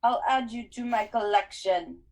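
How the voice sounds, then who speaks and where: A woman voicing an ancient female monster who coaxes with a soft, purring menace, as in an audiobook. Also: on the high side, measured pace, natural voice. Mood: snarling. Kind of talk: audiobook character voice.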